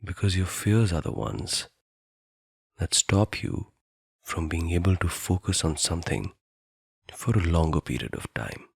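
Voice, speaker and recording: Deep, gravelly, husky male meditation guide. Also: very animated, no echo, studio quality. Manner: soothing, deliberate, with long pauses.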